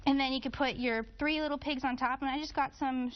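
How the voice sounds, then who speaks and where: A woman's voice: high voice, fairly even intonation, fast talker, highs cut off. A woman chatting and explaining like a teacher during a casual cooking demonstration in a TV studio kitchen. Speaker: guest cooking instructor and fourth grade teacher.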